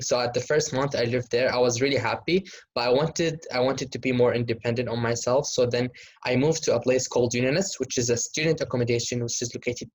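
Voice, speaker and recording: A man's voice: mid-range voice, rough recording. A young man from Syria, a student guest on a video call, who talks hesitantly and restarts his sentences often. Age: young adult. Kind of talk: casual chat.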